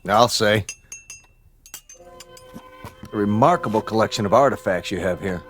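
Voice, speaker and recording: An observant spaceship crewman played by a man speaks in a fairly low voice, polite and matter-of-fact. It is classic radio drama acting over faint music. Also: measured pace, natural voice. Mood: impressed.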